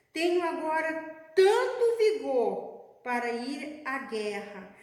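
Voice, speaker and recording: Woman preacher, high voice, slow measured delivery, long church-hall reverb. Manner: slow, measured preaching, addressing the congregation as beloved. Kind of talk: sermon.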